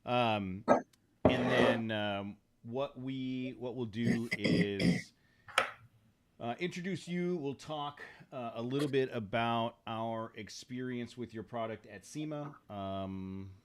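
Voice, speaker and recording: A man's voice: medium pitch, takes it slowly, over a noisy crowd. A man hosting a podcast, chatting casually and rambling with many restarts as he sets up and opens the show. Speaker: podcast host. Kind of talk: casual chat.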